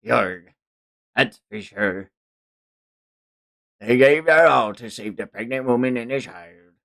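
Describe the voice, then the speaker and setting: A man's voice: medium pitch, takes it slowly, vocal fry, a bit bright. A man voicing a cruel, greedy pirate captain and slave trader in a manga read-along, sneering with archaic seafaring phrasing. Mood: mocking.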